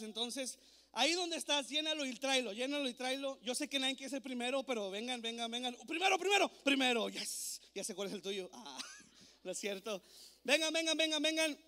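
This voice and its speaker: A man's voice: extremely high pitch, bright, forceful tone. A man in his thirties from Mexico, raised in Texas, preaching a playful, joking youth sermon on a handheld mic in a large church auditorium. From born in Mexico, raised in Texas. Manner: playful, joking, coaxing the crowd. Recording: echoey hall recording.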